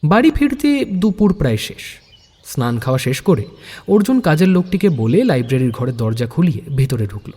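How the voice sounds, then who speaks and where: A man's voice: fairly high pitch, very animated, moderate pace, mellow, husky, big-room sound. A man hosting and narrating an audio story in a scripted storytelling style. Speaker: story narrator and channel host.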